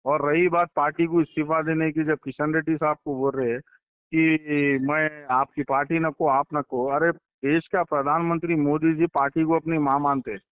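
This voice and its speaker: A man's voice: fairly high pitch, little pitch movement, normal speed, clear, resonant tone, no echo. A man with a Hyderabadi accent talking informally, pressing his points with repetition and pointed questions. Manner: insistent, repeats himself and presses points with questions. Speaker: political insider giving his account to a journalist. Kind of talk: informal phone conversation. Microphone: phone line. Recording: tinny recorded phone call.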